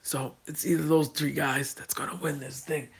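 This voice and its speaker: A man who has lost his voice, talking through casual wrestling predictions with a hoarse, raspy voice and many ums, restarts and throat clearing. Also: on the high side, little pitch movement, normal speed. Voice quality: raspy, gravelly. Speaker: wrestling vlogger.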